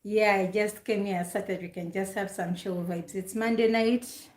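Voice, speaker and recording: A woman's voice: medium pitch, fairly even intonation, moderate pace, a little mellow, echoey room. A woman from Uganda chatting casually on a live stream, greeting viewers by name and repeating her questions.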